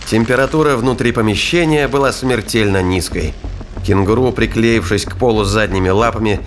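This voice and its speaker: A man's voice: lowish voice, a little hiss or hum. A man giving a dramatic scripted narration of a science fiction thriller. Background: music.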